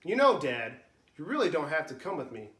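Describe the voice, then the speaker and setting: Man putting on a bragging little boy mouse, high and bouncy, storybook voice, echoey room. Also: sing-song, a little fast, fairly bright tone. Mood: eager boastful. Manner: eager, boastful. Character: boastful eager young boy mouse.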